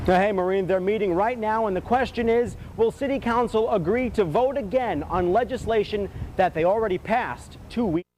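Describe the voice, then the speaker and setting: High-voiced male reporter, live outdoors. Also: normal speed, darkish tone. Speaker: field reporter. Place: outdoors on a city street. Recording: faint street noise behind.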